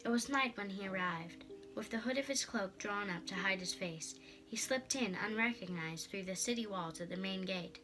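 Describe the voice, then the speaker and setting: A woman's voice: medium pitch, moderate pace, bright, forceful tone, faint music behind, rough recording. A female storyteller reading a storybook aloud in a dramatic narrating style.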